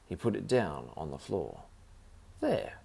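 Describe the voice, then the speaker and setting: A man's voice: low voice, big pitch swings, moderate pace, gravelly, darkish tone. A man reading a children's story aloud as the narrator.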